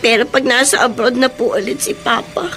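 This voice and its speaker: A woman's voice: fairly high pitch, fairly even intonation, a little fast, fairly bright tone, slight background noise, close to an everyday voice. A Filipino woman playing a meek, plaintive, mistreated young stepdaughter in a radio drama. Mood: plaintive, resigned. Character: mistreated, obedient young stepdaughter doing household chores.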